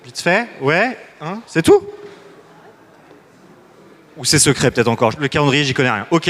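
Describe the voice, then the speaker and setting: A man runs a citizens' assembly in a loose, joking way, often breaking off and restarting his sentences. His voice sits a little high for a man. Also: a bit bright. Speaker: facilitator.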